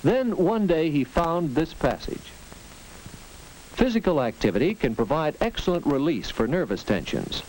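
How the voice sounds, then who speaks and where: A man with an American accent narrates a mid-century instructional film on a faintly noisy vintage soundtrack. His delivery is instructive and rhetorical, with lively rises and falls in pitch as he speaks straight to the viewer. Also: moderate pace.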